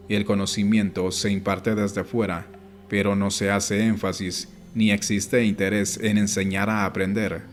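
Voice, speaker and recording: Low-pitched, even-toned male audiobook narrator over music. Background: background music.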